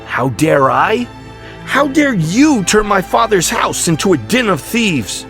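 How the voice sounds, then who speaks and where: A man's voice: high voice, big pitch swings, slow pace, fairly bright tone, voice pushed up, exaggerated voice. Man playing impassioned, defiant holy prophet. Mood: indignant. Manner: impassioned, scolding, preacherly. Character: defiant righteous male prophet, passionately rebuking the powerful. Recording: music behind the voice.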